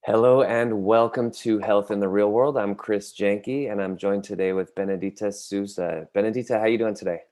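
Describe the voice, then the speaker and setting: A man hosting a health podcast, welcoming his guest in a friendly, halting way with fillers. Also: fairly low pitch, steady tone, a little mellow. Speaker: podcast host. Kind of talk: interview. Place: San Jose, California.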